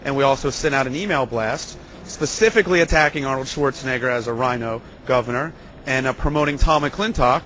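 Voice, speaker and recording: A man's voice: mid-range voice, a little fast, fairly bright tone. Middle-aged american guy giving an interview, handheld mic, background noise in a big backstage space. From California, US. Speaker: interviewee, local political activist. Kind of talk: conversational interview storytelling. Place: large concrete backstage area indoors. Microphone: handheld microphone. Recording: clear ambient noise of busy backstage hall.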